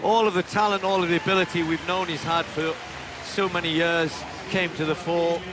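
Guy calling a track race, high voice, loud crowd noise behind. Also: a little fast. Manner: builds to excited shouting during the race. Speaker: play-by-play commentator. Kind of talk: live athletics commentary. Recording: broadcast commentary over loud stadium noise.

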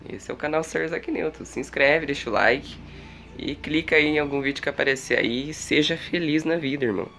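A man's voice: on the high side, a little fast, gravelly, sharp tone, a little hiss or hum. A teenage boy with a Brazilian Portuguese accent, answering viewer questions in a loose, unscripted vlog, joking and swearing a lot, filmed on a phone in a small bedroom. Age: teens. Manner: slangy, jokey, swears a lot, self-mocking. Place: small bedroom with wooden walls, indoors. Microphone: phone or webcam at arm's length.

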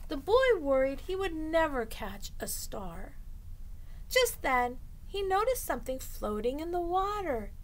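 A middle-aged woman reading a picture book aloud to children as a storytime host, expressively and deliberately, drawing out the ends of phrases. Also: high voice, sing-song. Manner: deliberate, drawing out phrase endings for young listeners. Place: small indoor room lined with bookshelves.

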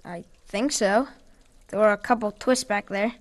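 A woman's voice: mid-range voice, steady tone, moderate pace, natural voice. An actress playing an uneasy young woman in an audio drama, speaking hesitantly. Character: uneasy young female companion underground.

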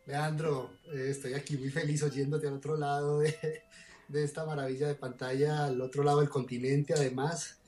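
A man's voice: fairly high pitch, level tone, moderate pace, darkish tone, slight background noise. Colombian man on a video call. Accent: Colombian Spanish, Medellín. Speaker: guest poet and performer. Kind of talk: interview over video call.

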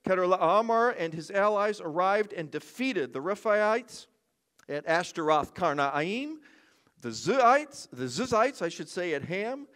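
Older man as a pastor, higher voice, lively swings of pitch, conversational sermon with jokes, headset mic on a church stage. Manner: conversational, joking asides.

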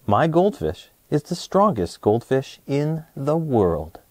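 A man in his thirties presenting and reading a children's storybook aloud in an expressive way, speaking to young listeners. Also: mid-range voice, slow, dry recording. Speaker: host of a children's read-aloud show.

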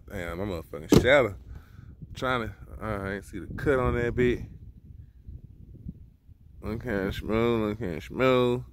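A man reviewing sneakers in a casual vlog, talking loose and profane with lots of slang, recorded outdoors on a handheld phone. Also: medium pitch. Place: outdoors beside a car in a yard.

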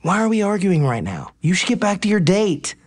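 A man's voice: high voice, sing-song, fairly quick. An actor playing a cocky, teasing male pro baseball player in a romantic comedy audio drama. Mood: teasing, unbothered.

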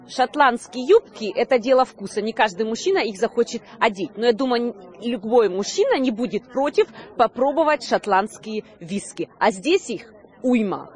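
Woman, thirties, slightly high voice, on-location video news presenter, slightly hesitant delivery, handheld mic outdoors. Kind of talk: on-location reporting, semi-scripted presenting to camera. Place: outdoor Christmas market. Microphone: handheld reporter microphone.

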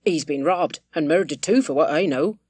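Woman as a sullen, low-voiced village drinker. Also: normal speed, darkish tone, husky, dry recording. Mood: sullen, muttering. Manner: grudging, defensive. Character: sullen, touchy male village pub drinker.

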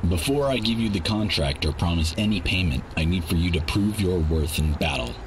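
A man voicing a cold, dismissive robot contract broker with a deadpan manner in a game. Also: low voice, brisk. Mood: indifferent. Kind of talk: animated game cutscene acting. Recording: clear noise behind the voice.

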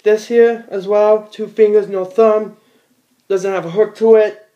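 A man's voice: sits high, flat delivery, a little slow, dull audio. A teenage boy in a small room at home, giving a casual how-to in a rambling, plain-spoken way with many fillers and restarts. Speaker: bowler demonstrating ball cleaning.

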